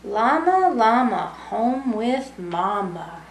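A woman in her thirties reads a picture book aloud to young children in simple, child-directed talk. Her voice sits a little high and she takes her time. The room is echoey, with faint noise behind her. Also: big pitch swings, slow. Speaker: student volunteer reading a picture book to children. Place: small room with brick wall, indoors.

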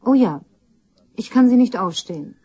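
An actress playing a blunt, brazen young mistress in a crime radio play, flippant and colloquial. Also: female voice, on the high side, expressive, normal speed, dark tone, slightly rough, dry recording, poor audio.

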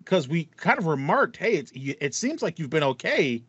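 A man's voice: a little high, big pitch swings, normal speed, a bit bright, husky, dry recording. A man chatting casually as a gaming podcast host, sympathetic and mock-indignant. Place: small room with acoustic foam, indoors. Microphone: headset on a video call. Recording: slightly dull, lo-fi online call.

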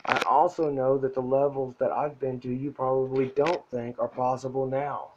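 Man, soft-spoken, blunt cursing pep talk, middle pitch, muffled lo-fi phone recording. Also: flat delivery, moderate pace, slight background noise. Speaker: self-help vlogger. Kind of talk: casual motivational talk to camera. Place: indoor room at a whiteboard. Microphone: phone camera mic.

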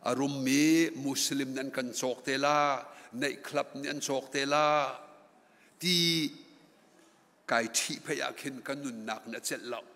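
A man's voice: mid-range voice, fairly even intonation, slow pace, sharp tone. A middle-aged man preaching a sermon from a pulpit microphone in a large church hall, rising to emphatic passages. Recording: long church hall reverb.

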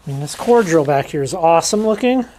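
A woman's voice: low voice, lively intonation, fast, warm tone, a little hiss or hum. A woman vlogger giving a casual hands-on demonstration, informally thinking aloud as she goes. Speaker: rockhounding vlogger.

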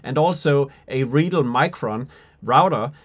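A man in his forties giving an unscripted software tutorial, thinking aloud and halting as he demonstrates. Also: medium pitch, lively intonation. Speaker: product demonstrator.

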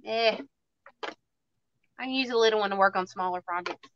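A woman's voice: mid-range voice, normal speed, fairly bright tone, smooth, no echo, highs cut off. A woman in her thirties with a Southern US accent, chatting and joking casually while hosting a craft livestream. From Tennessee, USA. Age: 30s. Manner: chatty, joking, self-mocking.

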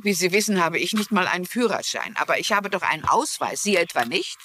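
A woman's voice: lowish voice, animated, brisk, sharp tone. An actress playing a shrewd older woman who is a mystery writer, courteous and inquisitive, in a German-dubbed crime series. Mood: puzzled, reasoning. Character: shrewd, polite older widow mystery writer and amateur sleuth. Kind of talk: dubbed TV crime drama dialogue.

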